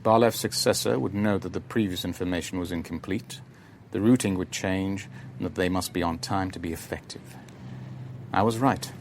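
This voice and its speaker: Low-voiced, wary businessman. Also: slight background noise. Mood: measured, uneasy. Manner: measured, polite, understated. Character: composed, wary businessman and shipowner narrating his ordeal. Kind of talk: radio drama narration and dialogue.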